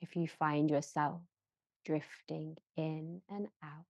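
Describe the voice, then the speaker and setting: Very slow, low-voiced woman guiding relaxation. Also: level tone, creaky, no room sound. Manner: measured, gentle, with long pauses between short phrases. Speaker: yoga nidra guide. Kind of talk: slow guided relaxation meditation.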